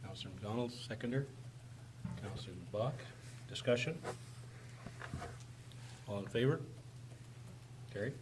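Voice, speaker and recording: A man with a hoarse, raspy voice chairing a formal council meeting, calling motions briskly in a council chamber. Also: lowish voice. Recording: noisy room recording.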